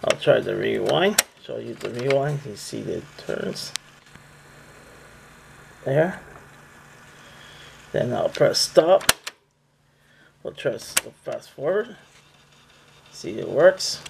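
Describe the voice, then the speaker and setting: Slow, gravelly man giving a demo. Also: animated, noisy background. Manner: plain, halting, step-by-step narrating. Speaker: seller demonstrating a product. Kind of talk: casual hands-on product demonstration. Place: indoors, close tabletop setup. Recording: music from a boombox behind the voice. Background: loud music from the boombox.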